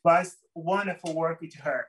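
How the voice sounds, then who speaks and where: A man from Brazil with a Brazilian Portuguese accent, a guest telling his life story in an interview, halting and searching for words in English. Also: fairly high pitch, fairly bright tone, distant mic, slight background noise.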